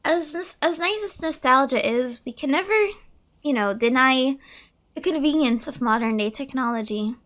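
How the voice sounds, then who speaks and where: High-voiced young woman chatting over a game. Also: expressive, moderate pace, fairly bright tone. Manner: chatty, rambling, musing aloud. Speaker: game streamer. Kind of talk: casual gameplay commentary. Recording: game music behind the voice. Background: game music.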